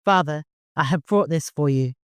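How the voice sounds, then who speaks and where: A man's voice: fairly high pitch, normal speed, smooth, no room sound, natural voice. A man voicing an eager, earnest young boy addressing his father in a storybook reading. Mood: formal, proud. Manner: excited, earnest, formal.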